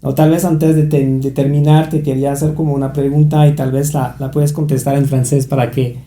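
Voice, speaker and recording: A man conducts an interview in a halting way, restarting his sentences often. His voice is very dark and mellow, and it sounds roomy and echoey. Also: medium pitch, flat delivery. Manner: hesitant, many restarts and fillers. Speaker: interviewer for a language institute. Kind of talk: interview conversation.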